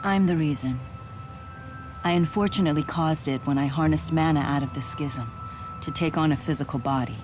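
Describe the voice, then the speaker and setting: A woman's voice: sits low, fairly quick, over music, close to an everyday voice. An actress playing a solemn, resolute spirit swordswoman in a video game, speaking formally. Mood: frank.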